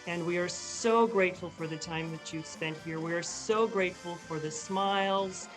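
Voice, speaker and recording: Casting: male chat host, effusive and halting, casual interview style, music in the background. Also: high voice, low-quality recording.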